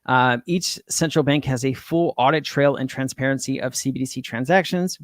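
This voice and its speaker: A man from California with a hoarse voice, casually explaining crypto payment systems as a channel host and often restarting mid-sentence. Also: mid-range voice, moderate pace, no room sound, studio quality. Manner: rambling, restarts, reads from screen. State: hoarse, losing his voice.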